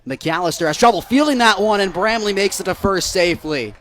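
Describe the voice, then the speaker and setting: A young American man works as a radio baseball play-by-play announcer. His voice sits a bit high for a man. He narrates the action live and builds excitement when a play develops. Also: brisk, slight background noise. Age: young adult. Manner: rises into excited calls on big plays.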